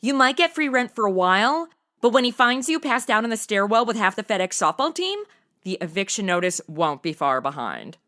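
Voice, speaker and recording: A woman's voice: mid-range voice, big pitch swings, brisk, fairly bright tone. A woman playing a goofy, slangy modern sidekick with casual, sassy quips in a comedy audio drama. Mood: sassy, rambling. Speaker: host and co-lead.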